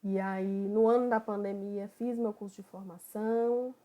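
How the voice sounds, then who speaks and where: A woman from Bahia, a psychoanalyst who opens an online study group in a relaxed, conversational way. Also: medium pitch, moderate pace. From Bahia, Brazil. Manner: relaxed, conversational, thinking aloud.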